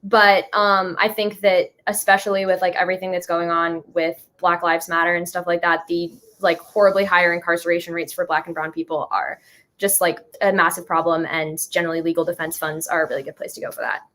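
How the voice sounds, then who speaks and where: A woman's voice: on the low side, level tone, fairly quick, fairly bright tone. A young American woman chatting informally and enthusiastically as an interview guest. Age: young adult. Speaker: interview guest, musical creator. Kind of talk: casual chat.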